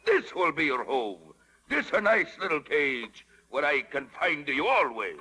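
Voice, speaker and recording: Big raspy italian puppet-show villain, greedy bully, swings all over, old radio drama in a boomy hall. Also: male voice, big pitch swings, a little slow, sharp tone, heavily acted. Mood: mocking, menacing. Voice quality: gravelly, booming. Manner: theatrical, bombastic, broken English. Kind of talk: 1930s radio acting. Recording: vintage 1930s radio, reverberant hall.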